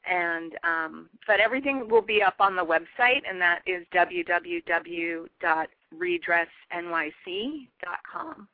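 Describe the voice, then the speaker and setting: A woman's voice: lowish voice, expressive, a little slow, few pauses, dry recording. A woman speaking casually as an interview guest who runs a shop. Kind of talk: casual chat. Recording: muffled radio-like audio, dry.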